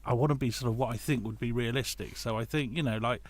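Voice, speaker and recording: A man's voice: medium pitch, fairly even intonation, fast talker. A man chats casually in a podcast discussion. He explains his thinking in a hesitant way, restarting and correcting himself as he builds each point. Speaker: guest, audio drama writer and creator.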